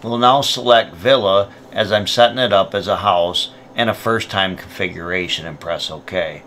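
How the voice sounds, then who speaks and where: A man's voice: deep voice, moderate pace, fairly bright tone, slight background noise. A man presenting a product tutorial, explaining each step in a plain, methodical way. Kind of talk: step-by-step instructional narration.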